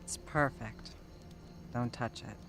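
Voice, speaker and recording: An actress playing a writer's frank, approving woman companion, brisk and clipped in an audio drama. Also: extremely low pitch, a bit bright, full character voice. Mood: assured, teasing. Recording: music behind the voice.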